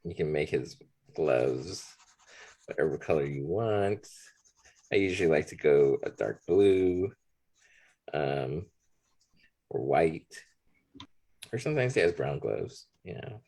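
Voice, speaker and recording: Man, deep gravelly voice, husky, slow, relaxed drawing demo. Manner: relaxed, talks while drawing. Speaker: art instructor. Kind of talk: drawing demonstration, thinking aloud.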